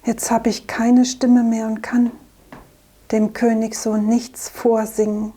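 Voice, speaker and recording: A woman playing a hoarse wise traveling singer who has lost her voice, speaking quietly in an amateur church nativity play. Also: fairly high pitch, level tone, takes it slowly. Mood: sad. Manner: quiet, whispery and sad.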